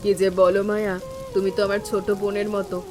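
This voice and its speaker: A woman playing a caring elder sister-in-law in an animated family drama, speaking in a reassuring, gently instructing manner. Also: mid-range voice, quick pace, close to an everyday voice. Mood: affectionate. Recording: music bed with rain sounds.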